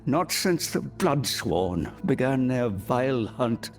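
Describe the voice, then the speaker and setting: An actor playing a wounded captive druid in a fantasy game, speaking formally, weary and halting, grateful yet defiant. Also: male voice, mid-range voice, very animated, soft background music. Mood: pleading, grateful.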